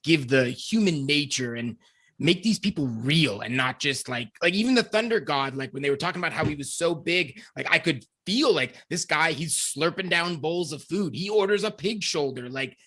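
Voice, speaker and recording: A man's voice: fairly high pitch, lively intonation, normal speed, fairly bright tone. A man talking enthusiastically and in a rambling way as a panelist in a podcast discussion.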